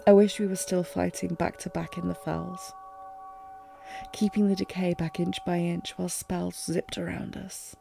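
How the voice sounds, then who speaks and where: A woman playing a lovesick warrior queen, regal yet fragile, in an intimate fantasy audio drama with formal, emotive, halting delivery. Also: lowish voice, level tone, vocal fry, darkish tone, faint music behind, exaggerated voice. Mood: sorrowful, resigned. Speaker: audio roleplay performer.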